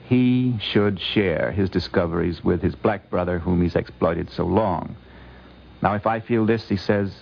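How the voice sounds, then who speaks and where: Deep creaky-voiced guy lecturing kinda deliberately, dull old 1950s radio sound. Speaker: guest scholar commenting. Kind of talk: considered explanatory commentary.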